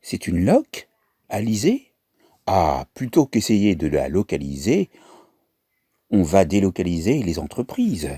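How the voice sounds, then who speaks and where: Slow husky man telling puns. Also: low voice, wildly swooping pitch, warm tone. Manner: slow, punning delivery with laughs at his own jokes. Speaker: comedian telling pun jokes. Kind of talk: improvised wordplay monologue.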